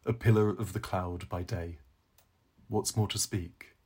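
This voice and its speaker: Brooding young intellectual, voiced by a man, husky. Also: deep voice, steady tone, a little slow, a little mellow. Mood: ironic. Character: brooding, ironic young male intellectual, storybook.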